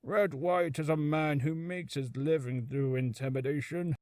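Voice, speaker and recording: A man's voice: fairly high pitch, fairly even intonation, unhurried, a little mellow, no echo, studio quality, close to an everyday voice. A man voicing a pompous, blustering, nostalgic older lawyer in a video game. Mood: wistful. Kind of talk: video game character acting.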